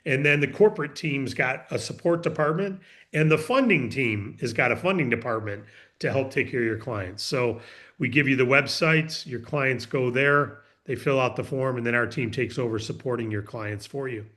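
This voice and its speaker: A man's voice: mid-range voice, normal speed, fairly bright tone. A man presenting and explaining in a friendly way, answering questions on a business video call. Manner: friendly, explanatory, talks in long runs.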